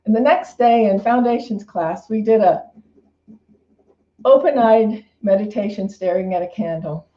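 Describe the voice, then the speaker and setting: A woman with a soft-edged, mellow voice speaks as a minister in a roomy, slightly dull recording. Her talk is an informal spiritual sermon, reflective and conversational. She circles back over phrases and rewords them as she goes. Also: mid-range voice, a little slow.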